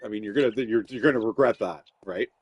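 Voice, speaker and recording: A man giving loud, rambling, profanity-filled commentary while playing a video game. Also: on the low side, quick pace, husky, no echo, lo-fi sound. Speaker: gaming streamer. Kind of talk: casual gameplay commentary.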